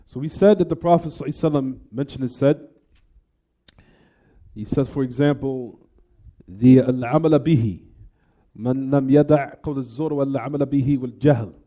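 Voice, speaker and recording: A man's voice: mid-range voice, quick pace, long pauses, mellow. A man teaching religion in an Islamic lecture, explaining point by point with repetition and weaving Arabic terms and formulas into his speech. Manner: didactic, repeats and restates points.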